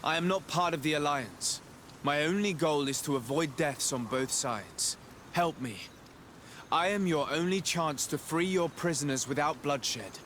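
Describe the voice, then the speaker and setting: An actor playing an earnest, courteous diplomat in a fantasy video game, polite and assured. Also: male voice, fairly high pitch, measured pace, a bit bright, slight background noise. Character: young male diplomat legate, earnest and courteous.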